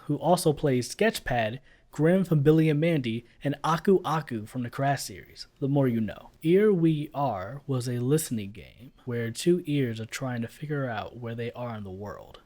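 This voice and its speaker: Brief: male voice-over reviewer, mid-pitched, blunt and sarcastic, casual. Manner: blunt, sarcastic, swears freely. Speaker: YouTube reviewer.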